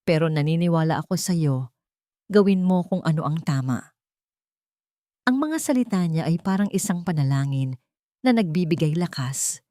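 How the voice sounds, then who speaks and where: A woman's voice: on the low side, dark tone, no room sound, clean studio sound. A woman with a Filipino accent reading a dramatic scripted romance story as the storyteller.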